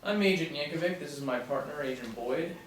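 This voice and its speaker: A man's voice: on the high side, brisk, lightly acted. A man reading the part of an eager FBI agent, deferential to his boss, at a table read of a TV pilot. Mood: brisk, official. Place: small meeting room, seated in a row. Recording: echoey room, distant mic, faint noise.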